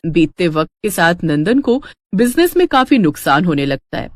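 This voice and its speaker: A woman's voice: on the low side, very animated, normal speed. A woman narrating a scripted moral bedtime story in a dramatic storytelling style.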